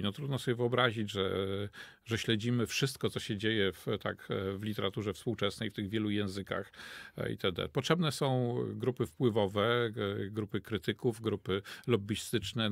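A man in his sixties, a literature professor answering questions in a radio studio, pausing often with fillers and restarts. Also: fairly low pitch, creaky, dry recording. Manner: hesitant, many fillers and restarts. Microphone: studio mic on desk.

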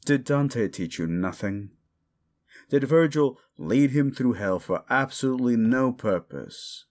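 Man acting as an ancient male demon, sardonic and condescending, slow drawl, swooping intonation, gravelly, theatrical audio roleplay. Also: mid-range voice, lively intonation, a little mellow, lightly acted. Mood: bemused, exasperated. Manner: condescending, theatrical, drawn-out mocking delivery with whispered asides. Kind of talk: audio roleplay monologue addressed to the listener.

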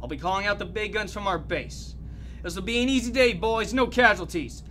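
A man acts out a cocky, bullish army commander in a radio play. His voice is high and bright, barking with brash authority over faint noise. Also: brisk. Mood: brash confidence. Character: overconfident, bullish male American military commander. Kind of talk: audio drama acting.

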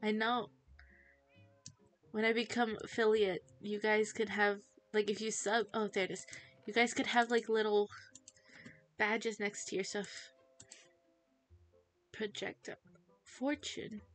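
A woman's voice: medium pitch, little pitch movement, soft background music. A teenage girl chatting casually to viewers while playing a game, giggly and easily distracted. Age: teens. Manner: chatty, giggly, self-distracted, quick to laugh. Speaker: streamer. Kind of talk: casual livestream chat while gaming.

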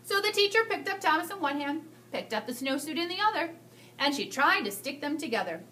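A woman in her thirties reading a picture book aloud to children, with expressive, drawn-out emphasis. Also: high-pitched, slight background noise. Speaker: school staff storyteller reading to children. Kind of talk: animated children's read-aloud.

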